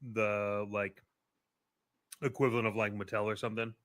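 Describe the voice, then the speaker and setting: A man's voice: on the low side, level tone, moderate pace, fairly bright tone. A man co-hosting a casual podcast, talking in a blunt, informal and sweary way. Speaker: podcast co-host.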